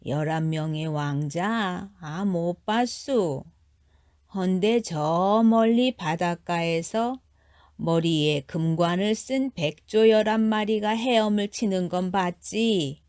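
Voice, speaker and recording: Woman acting a very slow-talking old granny. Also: lowish voice, darkish tone, no echo, clean studio sound. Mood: unhurried, helpful. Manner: drawn-out, halting words. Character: slow-speaking kindly old countrywoman giving directions. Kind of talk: storybook character voice.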